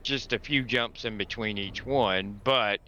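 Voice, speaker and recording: Male friend, low and bright, sore throat, easygoing drawl. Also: a little slow, lo-fi sound, a little hiss or hum. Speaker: friend on call. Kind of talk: casual chat.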